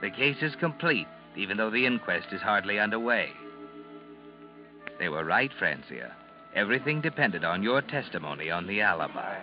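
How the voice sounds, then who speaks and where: An actor playing a sinister, knowing narrator in 1940s American radio suspense style, ominous and mocking, on a muffled old broadcast. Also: male voice, fairly low pitch, very animated, moderate pace. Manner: ominous, knowing, addresses the character directly. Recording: muffled vintage radio, music behind.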